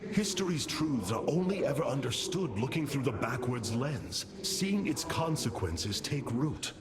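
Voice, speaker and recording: An actor playing a noble, resolute alien warrior leader in a video game, speaking formally and with command. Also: male voice, medium pitch, sing-song, unhurried, over music. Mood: earnest, firm. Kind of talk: dramatic video game cinematic acting.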